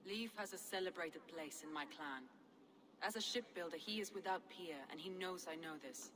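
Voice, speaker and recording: Woman acting a forceful Viking clan leader. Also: fairly low pitch, normal speed, slight background noise, close to an everyday voice. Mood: assured. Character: proud, composed female Viking clan leader. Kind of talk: video game cutscene dialogue.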